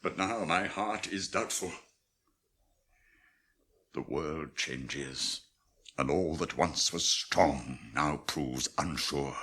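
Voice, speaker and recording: A man's voice: very deep voice, slow pace, pauses often, sharp tone, breathy edge, full character voice. A British actor in a radio drama playing a proud, weary old warrior king, solemn and declamatory. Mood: brooding, doubtful.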